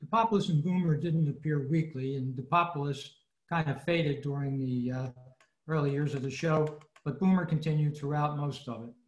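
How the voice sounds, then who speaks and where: A man reads a prepared history talk to a club in a slightly high voice. The sound is echoey, from an online meeting. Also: moderate pace, dull audio. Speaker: club presenter. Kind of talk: scripted talk on old radio history. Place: indoors, online video meeting.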